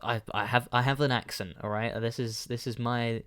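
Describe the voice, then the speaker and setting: A young man with a London accent talks through a solo podcast, wandering from thought to thought and correcting himself as he goes. His voice is smooth and clean, sitting at an ordinary middle pitch. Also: fairly even intonation, normal speed, fairly bright tone. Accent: southern British, London. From London, England. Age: young adult. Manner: rambling, self-correcting, chatty. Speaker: podcast host.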